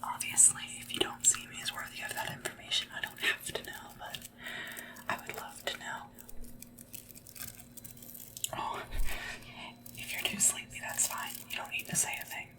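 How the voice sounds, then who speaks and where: A woman speaking in a soft, breathy whisper, gently attentive and deferential, as in an ASMR roleplay of a personal sleep attendant. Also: normal speed, long pauses, recorded from a distance. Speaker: ASMR roleplay creator playing a sleep assistant.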